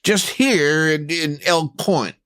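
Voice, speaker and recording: A man's voice: on the high side, slow pace, a bit bright, dry recording. A man playing a nervous, stammering townsman who waves a gun around, in a comedy audio drama. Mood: flustered. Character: jittery cowardly male townsman with a gun.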